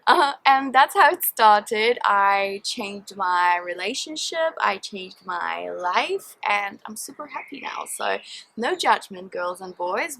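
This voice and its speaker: A woman's voice: medium pitch, gravelly, a bit bright, slight background noise, rough recording. A young woman from Poland, whose first language is Polish, chatting openly to her viewers in a casual Q&A recorded outdoors in a garden, with many fillers. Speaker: vlogger. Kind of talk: casual Q&A chat to camera.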